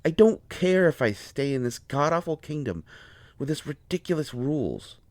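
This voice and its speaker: A man playing a proud, hurt, lovestruck healer in an intimate audio roleplay, speaking earnestly and haltingly with deep feeling. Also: big pitch swings, a little mellow, dry recording. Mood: fervent, frustrated. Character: proud, wounded, lovelorn male healer with a limp.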